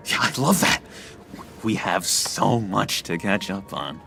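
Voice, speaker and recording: A male actor voicing an unstable, needy villain in a video game, halting and theatrical, with a creaky voice that turns breathy when hushed. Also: sits low, lively intonation, soft background music, exaggerated voice. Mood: eager, chummy. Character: unstable, clingy, awkward young male villain with manic laughter.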